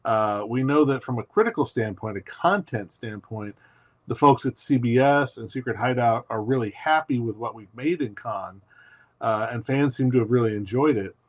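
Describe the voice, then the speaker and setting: A man's voice: mid-range voice, normal speed, dry recording, muffled recording. A man being interviewed as a guest writer on a podcast, speaking in an articulate, methodical way and often posing rhetorical questions.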